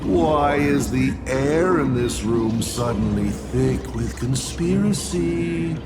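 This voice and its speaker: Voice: mid-range voice, animated, slow pace, very noisy. An actor playing a sly, theatrical schemer in a video game, mocking and drawling with insinuation. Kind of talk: dramatic video game voice acting.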